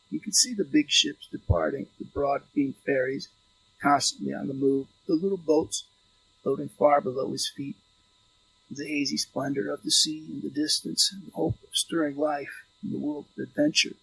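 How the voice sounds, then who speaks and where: A man reading literary fiction as an audiobook narrator, delivering it in measured phrases. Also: on the high side, creaky, fairly bright tone, low-quality recording.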